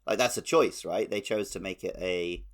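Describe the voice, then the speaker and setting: A man's voice: deep voice, moderate pace. A man co-hosting a podcast, talking casually about video games. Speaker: podcast co-host.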